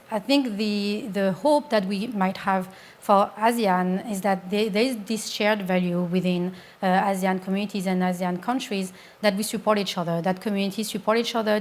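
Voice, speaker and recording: A woman from France speaking as a panelist, earnest and insistent. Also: medium pitch. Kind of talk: panel discussion.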